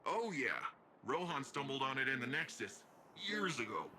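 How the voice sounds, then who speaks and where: An actor playing a brash, upbeat young hero in a video game, with casual, slangy, animated delivery. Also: male voice, mid-range voice, normal speed, a little hiss or hum. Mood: eager, upbeat.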